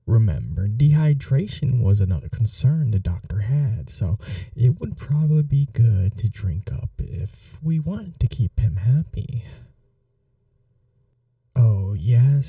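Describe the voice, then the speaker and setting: A man playing a courteous, caring gentleman vampire in an intimate ASMR roleplay, speaking softly in an unhurried, polite, slightly old-fashioned way. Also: mid-range voice, vocal fry, no room sound, recorded from a distance, full character voice. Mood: dryly amused.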